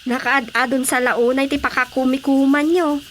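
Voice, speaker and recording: A woman's voice: high-pitched, steady tone, moderate pace, slight background noise, close to an everyday voice. A woman playing a cheerful, chatty rural aunt in an Ilocano radio comedy drama, exclaiming often. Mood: delighted.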